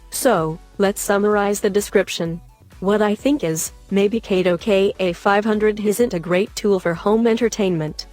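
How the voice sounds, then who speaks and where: A woman delivers scripted product review narration at a medium pitch. She reads as a voice-over narrator, with music playing behind her. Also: a little mellow, no room sound. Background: background music.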